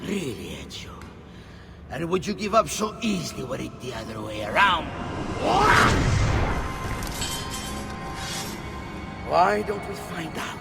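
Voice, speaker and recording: An actor playing a gloating, imperious older villain, a corrupt Pope, theatrical and mocking in a video game cutscene. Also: male voice, a little high, big pitch swings, normal speed, loud music behind the voice. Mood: scornful, defiant. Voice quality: gravelly, creaky.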